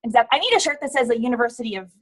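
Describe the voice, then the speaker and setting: High-voiced woman on a video call, chatty and jokey. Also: rapid-fire, a little hiss or hum. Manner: chatty, joking. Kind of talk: casual online meeting chat.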